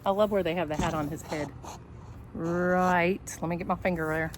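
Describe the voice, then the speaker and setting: A woman's voice: on the low side, steady tone, normal speed. A woman teacher talking casually and reading a picture book aloud, with a chatty, rambling, self-deprecating way of speaking. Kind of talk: casual vlog chat and storybook read-aloud. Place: outdoors in sunshine. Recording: homemade outdoor recording with background noise.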